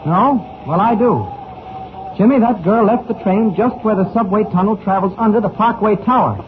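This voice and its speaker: A man plays a confident, earnest reporter in a 1940s radio adventure. His voice is high for a man and his delivery deliberate. The sound is band-limited and a bit noisy. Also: natural voice. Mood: suspicious, urgent. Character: earnest, confident male newspaper reporter mentoring a youngster. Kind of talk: 1940s radio serial acting. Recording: old narrow-band radio recording, noisy.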